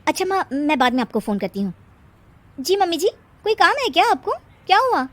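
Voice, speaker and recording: Woman doing touchy tired working mom bahu in a story, high voice. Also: very animated, a little fast. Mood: polite. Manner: complaining, defensive. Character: overworked young working mother, touchy daughter-in-law.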